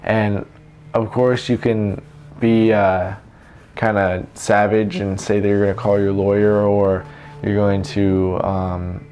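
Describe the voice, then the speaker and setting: Low-pitched young man, casual and halting. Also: little pitch movement, darkish tone, highs cut off, soft background music. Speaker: vlogger giving self-help tips. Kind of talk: casual, unscripted advice monologue. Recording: noticeable background noise.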